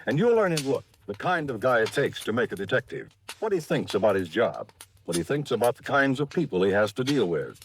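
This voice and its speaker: Animated American radio announcer, male. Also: mid-range voice, brisk, slight background noise. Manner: projected, polished, dramatic. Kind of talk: 1950s radio announcing, scripted. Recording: vintage radio broadcast over loud music. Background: loud music.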